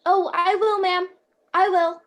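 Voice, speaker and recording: A woman playing a gentle, dutiful guardian angel in an amateur radio play. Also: very high voice, flat delivery, unhurried. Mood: deferential.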